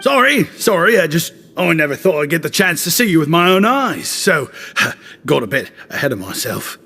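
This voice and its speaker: Fawning young shop clerk, lively and slightly high, soft game music behind. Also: male voice, moderate pace, gravelly, husky, heavily acted. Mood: flattering. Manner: ingratiating, effusive. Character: flattering young male shopkeeper, smooth talker.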